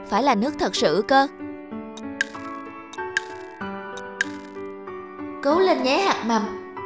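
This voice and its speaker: A woman voicing earnest, hopeful young children in a cartoon. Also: high-pitched. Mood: hopeful, determined.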